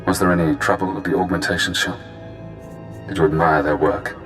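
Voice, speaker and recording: Low-voiced, sinister spy handler. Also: male voice, fairly even intonation, a bit bright, soft background music. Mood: cool, appraising. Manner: measured, cerebral, clipped phrases. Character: cool, cunning male spy handler, quietly sinister. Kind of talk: video game voice acting.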